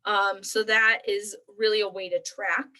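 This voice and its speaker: A woman's voice: medium pitch, level tone, measured pace, bright, forceful tone. A young woman librarian walking viewers through an online resource in a chatty, upbeat way with lots of fillers. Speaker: librarian presenting a tutorial. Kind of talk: screen-share walkthrough.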